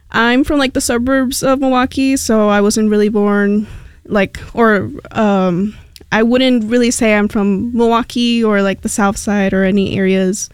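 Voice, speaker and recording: A woman's voice: a little high, clear, resonant tone, no room sound, studio quality. Chatty young woman, Spanish native speaker. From Mexico. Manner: chatty and rambling, many fillers. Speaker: radio station intern, guest. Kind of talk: casual radio chat. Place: radio studio.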